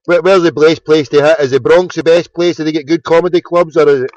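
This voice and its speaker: A man's voice: a little high, steady tone, fast, a little mellow, no echo. A Scottish man hosting a casual phone-in, chatty and sweary, often repeating his words. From Scotland. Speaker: phone-in host.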